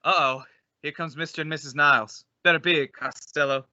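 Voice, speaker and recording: A man's voice: fairly high pitch, steady tone, moderate pace, muffled recording, background noise, close to an everyday voice. A man playing the bossy straight man of an old-time radio comedy duo, explaining and scolding in exasperation. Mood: alarmed.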